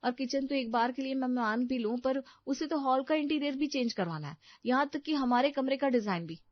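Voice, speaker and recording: A woman's voice: sits high, brisk, no echo, close to an everyday voice. A woman voicing an indignant young daughter-in-law in an audio story, aggrieved and protesting. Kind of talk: Hindi audio story acting. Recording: slightly dull, dry studio recording.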